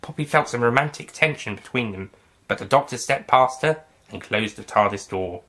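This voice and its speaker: A man narrating a fan-written story aloud in a plain, steady reading voice. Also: medium pitch, normal speed. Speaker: narrator and writer.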